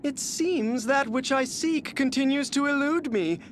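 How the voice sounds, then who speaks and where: A flamboyant, beauty-obsessed video game warrior, speaking in a strikingly high, effeminate voice. He takes his time over every flowery phrase, refined and theatrical. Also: a little slow, a little hiss or hum. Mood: tender, admiring.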